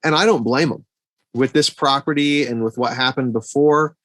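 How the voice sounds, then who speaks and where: Man, middle-aged, medium pitch, dry sound, casual podcast co-host, halting, repeats words. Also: a bit bright, no room sound. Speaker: podcast co-host reviewing movies. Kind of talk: casual film discussion.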